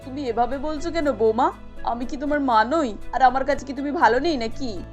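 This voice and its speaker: A woman's voice: sits high, a little fast, soft background music, close to an everyday voice. A woman playing a kindly older village mother-in-law in a cartoon, homely and motherly in manner. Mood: tender concern. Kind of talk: cartoon character acting.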